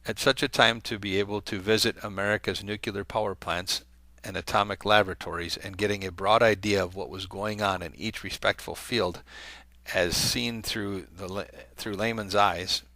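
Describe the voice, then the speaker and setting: Bright-toned man reading aloud, dry close mic. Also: fairly low pitch, steady tone, normal speed, no room sound. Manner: conversational, with hesitant fillers and self-corrections. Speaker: video channel host. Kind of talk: reading a letter aloud to viewers.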